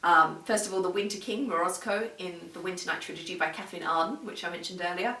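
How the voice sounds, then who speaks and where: Roomy, distant mic; woman book vlogger, lowish voice, casual and enthusiastic. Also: fast talker, bright, forceful tone. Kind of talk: casual, chatty book talk to camera. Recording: roomy echo, distant microphone.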